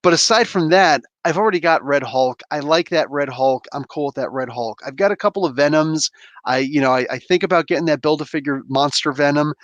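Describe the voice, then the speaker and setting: A man's voice: a little high, fairly quick, a bit bright, dry recording, muffled recording. A man in his thirties from New Jersey, hosting a casual livestream, rambling and slangy as he reads chat and opens toys. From New Jersey, USA. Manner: laid-back, rambling, laughs often, slangy. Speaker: livestream host.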